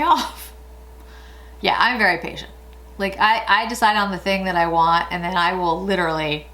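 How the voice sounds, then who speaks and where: A woman's voice: mid-range voice, fairly bright tone. A woman in her late forties chatting casually through a makeup tutorial, rambling and laughing often. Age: 40s. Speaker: beauty vlogger.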